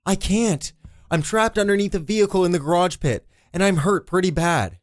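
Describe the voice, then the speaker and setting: A man's voice: medium pitch, big pitch swings, normal speed, dry recording, clean studio sound, close to an everyday voice. A man voicing an injured, trapped mechanic in an audiobook, desperate, foul-mouthed and strained. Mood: pleading. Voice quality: croaking, strained. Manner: shouting, pleading, exasperated. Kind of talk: dramatised audiobook character.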